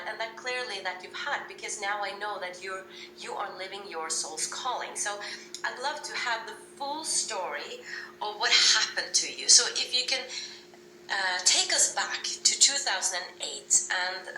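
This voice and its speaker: A woman interviewer speaks conversationally in a very bright, forceful voice of middling pitch. She sounds slightly distant in an echoey space, with a faint hum behind her.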